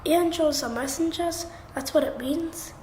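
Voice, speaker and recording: An earnest young Geordie boy in a radio drama. His voice is very high, and he pleads and questions as if he truly believes he is an angel. The sound is roomy, with a faint noise behind it. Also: unhurried, darkish tone. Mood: earnest, questioning. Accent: Geordie, north-east England. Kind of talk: naturalistic radio drama acting.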